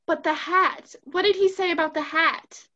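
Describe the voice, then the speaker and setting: A woman's voice: very high-pitched, steady tone, moderate pace, sharp tone. A young woman playing a sweet, tactless girl in a stage comedy, with a girlish, polite manner. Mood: innocent, tactless. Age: young adult. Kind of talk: theatrical stage comedy acting. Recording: dull, lo-fi video-call sound.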